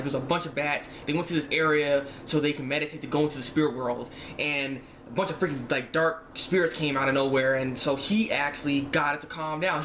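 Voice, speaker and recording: A man's voice: on the high side, a bit bright, a little hiss or hum. A man giving a casual, slangy episode review to viewers, often repeating himself and acting out his reactions. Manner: repetitive, slangy, acts out remarks in reaction. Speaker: reviewer of an animated series episode. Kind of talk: casual spoken review, informal chat to viewers.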